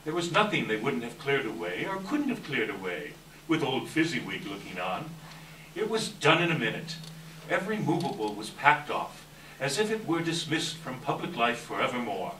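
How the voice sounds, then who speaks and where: Male narrator, readers theater delivery, forceful and lively, roomy distant recording with faint noise. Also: mid-range voice, normal speed. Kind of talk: dramatic scripted storytelling, live stage reading. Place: live event room, distant microphone. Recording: echoey hall from far off, faint noise.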